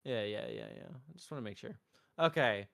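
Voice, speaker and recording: A man's voice: lowish voice, wildly swooping pitch, a little fast, vocal fry, fairly bright tone. A man streaming a visual novel playthrough, narrating and reading the player character's part. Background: music.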